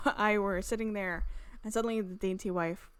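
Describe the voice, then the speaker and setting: A woman's voice: mid-range voice, fairly even intonation, normal speed, smooth, no echo. A woman game streamer reading visual novel narration aloud, breaking off into chatty, exclamatory asides. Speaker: gaming channel host. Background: game music.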